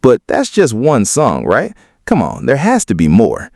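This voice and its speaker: A man's voice: medium pitch, huge pitch swings, unhurried, a little mellow, no echo, clean studio sound. A man giving opinionated video commentary in an emphatic, argumentative way. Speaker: video commentator on hip-hop.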